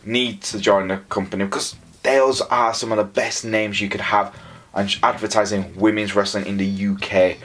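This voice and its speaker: A man's voice: on the low side, fairly even intonation, normal speed, a bit bright. A man with a Yorkshire accent gives an enthusiastic, opinionated, casual review as a wrestling show reviewer. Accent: Yorkshire English. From Leeds, England. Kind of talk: casual scripted-free review commentary.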